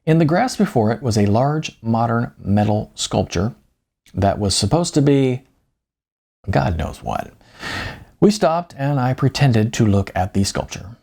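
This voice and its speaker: A man's voice: medium pitch, lively intonation, a little slow, creaky, warm tone. A man reading his own novel as an audiobook in first-person narration.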